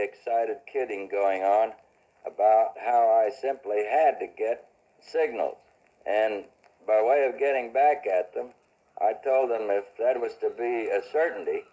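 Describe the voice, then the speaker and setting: A man telling a first-person reminiscence in a measured, wry way with old-fashioned formal phrasing. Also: medium pitch, warm tone. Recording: dull archival radio recording.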